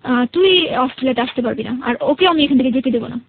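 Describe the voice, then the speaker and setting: A woman's voice: high voice, fast talker, phone-quality sound, slight background noise. A young woman with a Bangladeshi accent, a guest telling her personal story conversationally and quoting reported dialogue.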